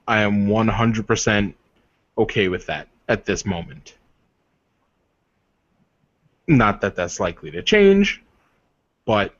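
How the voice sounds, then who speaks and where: Man playing a slow, solemn werewolf warrior leader. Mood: earnest. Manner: solemn, deliberate, formal phrasing. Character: proud male werewolf warrior leader, earnest and commanding. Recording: dull, roomy low-fidelity voice recording.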